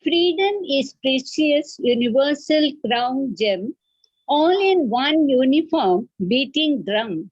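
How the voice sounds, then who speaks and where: A woman's voice: high-pitched, expressive, slow, no echo. A woman from India with an Indian accent, reciting poetry as a participant in an earnest, declamatory way. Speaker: poet, event participant. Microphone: online group call. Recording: dull, dry online call.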